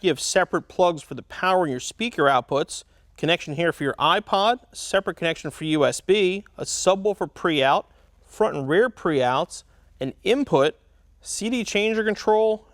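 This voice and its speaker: A man presenting an instructional product demonstration as a tutorial host, explaining things clearly step by step. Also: on the high side, no room sound, clean studio sound. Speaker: host of car parts installation tutorial.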